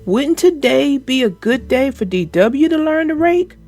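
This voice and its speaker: A woman's voice: high-pitched, lively intonation, a little slow, a little mellow, faint music behind, voice pushed up. A woman voicing a good-natured young schoolboy who is reluctant about chores, in a children's storybook.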